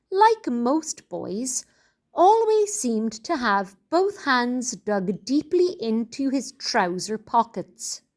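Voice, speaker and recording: A woman with a high, lively voice reads a storybook aloud for children. She takes her time, phrasing each line clearly like a teacher helping listeners follow along. Her delivery is expressive and deliberate, at an unhurried, slow pace.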